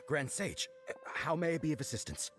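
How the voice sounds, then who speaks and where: A man's voice: a little high, very animated, normal speed, over music. An actor playing a timid, deferential male guard in a video game, formal, apologetic and prone to stammering. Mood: nervous.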